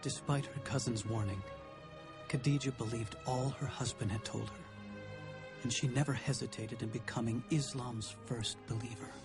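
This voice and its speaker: A man playing a reverent believer, narrating an animated film in a scripted storytelling style. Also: mid-range voice, moderate pace, close to an everyday voice. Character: reverent believer recounting early days of faith. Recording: music behind the voice.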